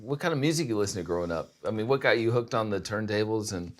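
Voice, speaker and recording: Male interview host, lower register, relaxed slangy delivery, conversational. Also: fast. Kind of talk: casual interview chat.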